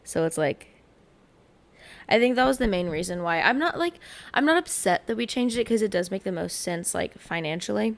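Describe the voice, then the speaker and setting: A young woman with a light Southern US accent co-hosts a casual podcast, talking frankly and giggling between stories. Her voice has a gravelly, fry-tinged edge at the ends of phrases. She leans on "like" as she talks. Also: mid-range voice, expressive. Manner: candid, giggly, drawn-out filler words. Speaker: podcast co-host. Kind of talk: casual chat.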